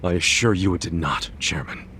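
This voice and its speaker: A man's voice: sits low, normal speed, sharp tone, slight background noise. An actor playing an earnest, brash, good-hearted yakuza man in a game dub, talking in a casual, slangy way. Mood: respectful, confident. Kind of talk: English game dub cutscene acting.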